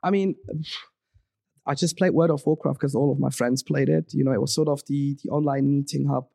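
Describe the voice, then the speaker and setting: A man's voice: mid-range voice, fairly even intonation, a little fast, gravelly, mellow, no room sound, clean studio sound. A young man from Switzerland, a podcast guest talking casually, rambling with many ums and restarts. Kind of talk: casual interview talk.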